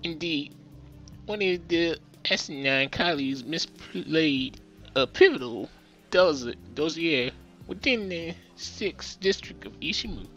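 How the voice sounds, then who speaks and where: A man's voice: fairly high pitch, lively intonation, slow, fairly bright tone, muffled recording, faint music behind, pitched above the performer's own voice, exaggerated voice. A man voicing a tiny, furious female officer who speaks stiffly and formally with a scornful bark.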